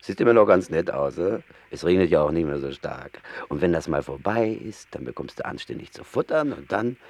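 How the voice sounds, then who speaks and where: Gruff kindly rat, low voice, really swoopy intonation, radio play. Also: male voice, sing-song, fairly quick, a little mellow, exaggerated voice. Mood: gruff reassurance. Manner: colloquial, reassuring. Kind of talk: German radio play acting.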